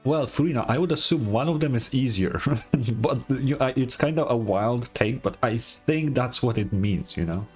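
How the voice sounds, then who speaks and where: A man's voice: mid-range voice, animated, normal speed. Sarcastic male streamer, music faintly behind. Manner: sarcastic, blunt, swears. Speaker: streamer reacting to a game. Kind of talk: casual live reaction commentary.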